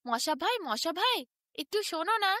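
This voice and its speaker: Woman as a high-pitched, indignant little tailorbird. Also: big pitch swings, moderate pace, sharp tone, no echo, clean studio sound, full character voice. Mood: sing-song calling. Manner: sing-song, repetitive, wheedling. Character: small indignant pleading tailorbird, quick to anger.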